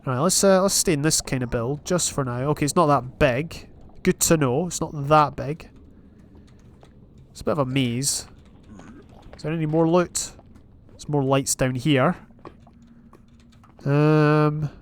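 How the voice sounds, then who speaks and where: A man's voice: on the high side, a little slow, long pauses. A young Scottish man casually commentating his own game, thinking aloud and reading out achievements as he plays. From Scotland. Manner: chatty, thinking aloud, reading advancements while playing. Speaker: gaming YouTuber. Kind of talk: casual Minecraft let's play commentary.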